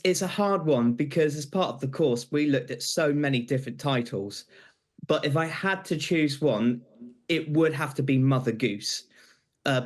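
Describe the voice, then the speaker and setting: A young British man answering questions as a guest on live television, earnest and slightly halting. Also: medium pitch. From Britain. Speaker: interview guest, pantomime graduate.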